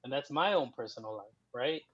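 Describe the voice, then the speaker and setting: A man in his thirties from Mexico talks casually as a podcast guest, drifting through his thoughts with plenty of fillers. His voice sits at a middle pitch. The sound is dull and dry, with no room around it. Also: brisk, dry recording. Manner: relaxed, rambling with fillers. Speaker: guest writer. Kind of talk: casual interview. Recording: muffled dry lo-fi.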